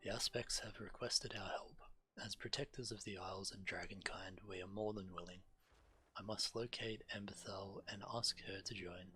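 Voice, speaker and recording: A man's voice: low voice, fairly even intonation, moderate pace, sharp tone, low-quality recording. A man who streams games, reading quest text aloud.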